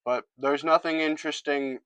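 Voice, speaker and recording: A man's voice: fairly high pitch, steady tone, normal speed, fairly bright tone, smooth, dry recording. A man reviewing a film in a casual, scripted monologue with sarcastic, jokey asides.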